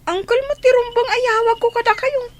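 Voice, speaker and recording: Woman playing a very high-pitched pleading schoolgirl. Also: steady tone, normal speed, slight background noise. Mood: firm refusal. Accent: Ilocano. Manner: pleading, protesting. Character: young female student, pleading, respectful but firm. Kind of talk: Ilocano radio drama acting.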